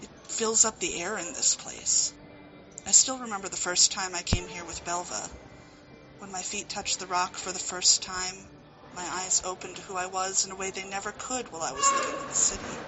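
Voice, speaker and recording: A woman's voice: lowish voice, fairly even intonation, moderate pace, background noise, natural voice. A woman playing a devoted, steadfast comrade of an island leader in an audio drama, earnest and assured. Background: music.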